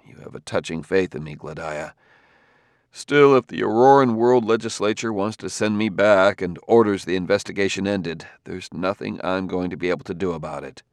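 A man's voice: low-pitched, normal speed, no echo, clean studio sound. A man voicing a weary, dogged male investigator in an audiobook novel, blunt and resigned yet earnest and tender. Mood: resigned, worried.

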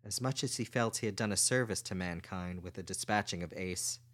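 Low-voiced man narrating a story. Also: a little fast, a bit bright. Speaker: audiobook narrator.

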